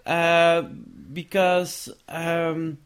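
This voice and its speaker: A man with a Dutch accent, a researcher and author answering interview questions in a thoughtful, halting way with frequent restarts. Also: fairly high pitch, monotone, a bit bright, smooth. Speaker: education researcher and book author.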